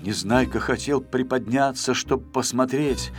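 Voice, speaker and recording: A man's voice: mid-range voice, measured pace, soft background music. A man narrating a children's story expressively as an audiobook reader.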